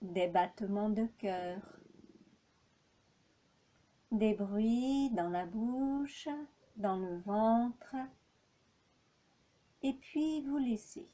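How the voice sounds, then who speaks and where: A woman leading a guided yoga relaxation as an instructor, speaking calmly and in measured phrases with long pauses. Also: medium pitch.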